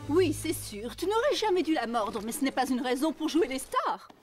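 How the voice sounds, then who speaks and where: A woman voicing an expressive female horse character in a French cartoon dub. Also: high voice, moderate pace, faint music behind. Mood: chiding.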